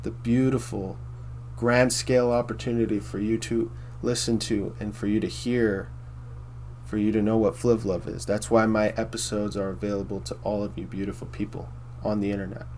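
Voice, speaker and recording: A man with a baritone voice hosting a casual philosophy monologue, rambling and repeating himself with many fillers. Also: little pitch movement, normal speed, darkish tone, a little hiss or hum. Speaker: host.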